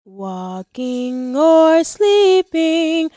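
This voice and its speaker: A woman's voice: extremely high pitch, very animated, drawn-out words, no room sound, clean studio sound, faint music behind. A woman singing a devotional hymn. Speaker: singer. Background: soft musical accompaniment.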